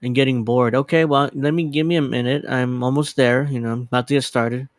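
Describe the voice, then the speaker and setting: A man in his thirties hosts a casual livestream in a clear, smooth voice at a middling pitch. He rambles in a scattered, self-deprecating way and swears casually. The sound is dry and close on a dedicated microphone. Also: fairly even intonation, fairly quick, dry recording. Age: 30s. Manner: casually profane. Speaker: livestream host.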